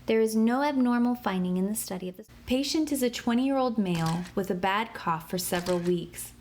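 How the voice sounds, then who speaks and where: Female narrator, middle pitch, clear and even instructional read; noisy capture. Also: brisk. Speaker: narrator of an interactive medical app for kids.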